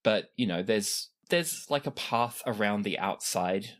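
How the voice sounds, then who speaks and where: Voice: low voice, animated, unhurried, fairly bright tone. A game master improvising narration for a tabletop role-playing game in a conversational way.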